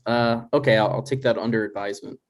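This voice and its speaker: A man's voice: lowish voice, steady tone, quick pace, close to an everyday voice. A man playing a dry, exasperated, reluctant adult son in a comedy table read, hesitant and natural in delivery.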